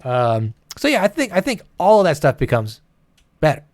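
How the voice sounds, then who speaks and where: A man's voice: a little high, wildly swooping pitch, moderate pace, no room sound, clean studio sound. A man co-hosting a gaming show in casual chat, joking, swearing and mimicking imagined speakers as he goes. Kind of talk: casual chat about video games.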